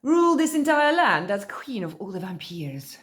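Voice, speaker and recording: A woman's voice: mid-range voice, very animated, normal speed, lightly acted. A woman voicing a mysterious, insistent male vampire emissary with a put-on Eastern European accent and a grandiose, commanding manner, in a storybook reading. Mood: solemn, commanding. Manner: grandiose, commanding, clipped grammar.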